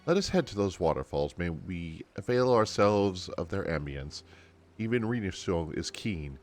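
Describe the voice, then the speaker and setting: A man's voice: low voice, sing-song, normal speed, soft background music. A man voicing an earnest female storyteller from a fantasy game, reading her dialogue in formal, archaic, kindly phrasing. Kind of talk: game dialogue read aloud.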